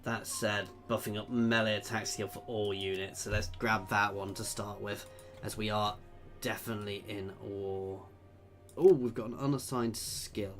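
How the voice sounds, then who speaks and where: A man's voice: lowish voice, steady tone, moderate pace, fairly bright tone, soft background music. A man giving casual commentary while playing a strategy game, thinking aloud and chatting with viewers. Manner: chatty, thinking aloud, reads out chat messages. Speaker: gameplay video creator.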